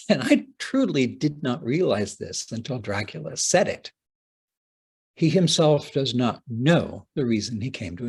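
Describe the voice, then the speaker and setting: Male lecturer, playwright type: husky tone, unhurried delivery, theatrical phrasing, deliberate dramatic pauses. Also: medium pitch, darkish tone. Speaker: playwright giving a talk. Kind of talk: prepared conference lecture, read aloud with dramatic phrasing.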